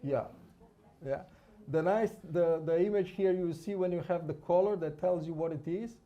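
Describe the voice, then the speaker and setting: A man's voice: high-pitched, moderate pace, dull audio. Brazilian man giving a conference lecture. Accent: Brazilian Portuguese. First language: Portuguese. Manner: informal, tag questions, frequent restarts. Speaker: conference speaker, fetal cardiology. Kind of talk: conference lecture with live scan.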